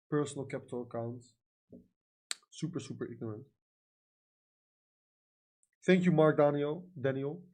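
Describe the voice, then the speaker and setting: Male, young adult, Dutch accent, gravelly, mid-pitched. Casual stream talk, filler-heavy, blunt. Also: warm tone, poor audio. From Netherlands. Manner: frequent fillers like "you know" and "like", blunt and profane. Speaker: livestream trader. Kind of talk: casual livestream chat reading comments.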